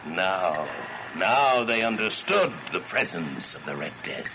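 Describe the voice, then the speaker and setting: A man narrating a gothic horror story in a solemn, theatrical and deliberate manner. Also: deep voice, sing-song, highs cut off, noisy background. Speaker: story reader. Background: dramatic music.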